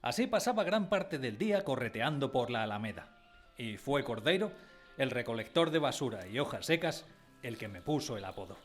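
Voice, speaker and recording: A man's voice: medium pitch, big pitch swings, normal speed. A man playing a wistful narrator who recalls his village boyhood in a scripted radio drama. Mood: wistful, storytelling. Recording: music under the voice.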